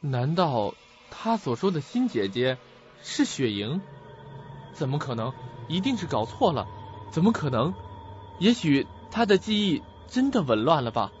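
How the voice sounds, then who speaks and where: A man plays a troubled young man in a suspense radio drama. His fairly high voice moves around a lot in pitch. The recording is slightly dull. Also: big pitch swings, measured pace, slight background noise. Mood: puzzled, doubting. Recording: dull, band-limited audio.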